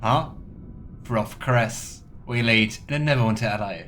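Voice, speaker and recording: A man's voice: medium pitch, normal speed, a little hiss or hum. A man hosting a video game let's play, commenting and reading game texts aloud over game music. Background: soft game music.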